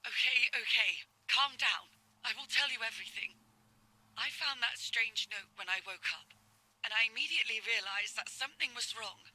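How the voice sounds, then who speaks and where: A woman's voice: a little high, moderate pace, sharp tone, no room sound, rough recording. An actress playing an anxious middle-aged mother who pleads with her daughter in distress, in dramatic game dialogue. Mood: alarmed.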